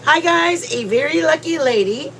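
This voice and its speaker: Woman, congested, casual livestream host, rambling, slightly high voice, faint background noise. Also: animated, moderate pace, fairly bright tone. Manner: rambling and chatty, with many restarts. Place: at home, near the kitchen.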